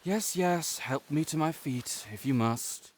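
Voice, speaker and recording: A man playing a sickly, proud and melancholy nobleman in an intimate audio roleplay, speaking formally with measured, old-fashioned phrasing. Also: on the high side, darkish tone, a little hiss or hum. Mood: frail, politely proud.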